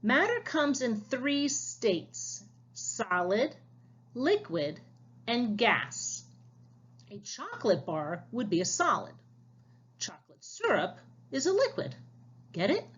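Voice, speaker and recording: Woman as a patient, slow-spoken magic-school teacher. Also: on the high side, huge pitch swings, dull audio, a little hiss or hum, heavily acted. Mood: patient explaining. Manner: explanatory, questioning. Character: patient female magic-school teacher explaining science in storybook.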